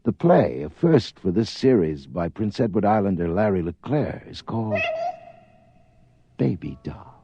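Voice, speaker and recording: A man's voice: fairly low pitch, big pitch swings, unhurried, highs cut off, soft background music. A man playing a radio anthology announcer, giving a scripted introduction to a play. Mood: composed.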